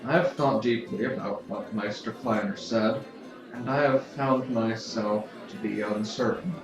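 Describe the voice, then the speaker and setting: A dull, echoey recording with music carries a man acting as a troubled male official in an audio drama. His lowish voice is formal and deferential, full of doubt. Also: measured pace. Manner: formal, confessional.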